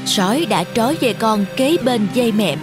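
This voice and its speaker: A woman's voice: on the high side, expressive, normal speed, music in the background. A woman reading scripted narration for a children's fairy tale. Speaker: storyteller, narrator.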